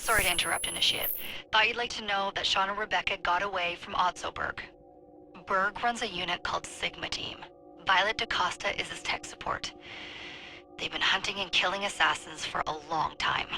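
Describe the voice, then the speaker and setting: An urgent handler, low pitch, very sharp tone. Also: female voice, vocal fry, full character voice. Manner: brisk, urgent, businesslike. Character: brisk female modern-day mission handler. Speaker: mission handler. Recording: game audio with soft music.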